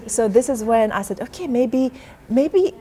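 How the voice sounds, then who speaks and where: A woman's voice: fairly high pitch, expressive, measured pace, mellow. A woman being interviewed, speaking earnestly and often restarting her sentences with fillers. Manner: earnest, many fillers and repeated starts. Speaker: interview guest. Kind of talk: casual interview conversation. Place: hotel in London.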